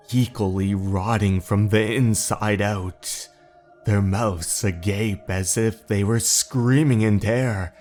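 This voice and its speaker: A man narrating a horror story in a slow, dramatic, brooding way. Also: fairly low pitch, darkish tone.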